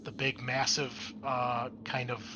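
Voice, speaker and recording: Male game master, mid-pitched voice, measured explanatory narration for tabletop roleplaying, clear noise behind him. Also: monotone, lo-fi sound.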